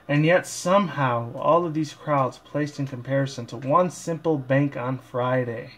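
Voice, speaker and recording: Rambling man hosting an amateur read-aloud. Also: mid-range voice. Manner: rambling, stumbles and corrects himself. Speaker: amateur fan fiction reader and video host. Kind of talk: casual intro and halting story read-aloud.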